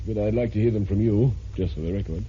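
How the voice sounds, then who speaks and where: Man playing wry crime reporter, genteel, low and very mellow, old radio drama. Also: fast, a little hiss or hum, natural voice. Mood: wry, chatty. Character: urbane, wry crime reporter narrating his case. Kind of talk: vintage radio drama acting and narration. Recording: muffled vintage radio recording.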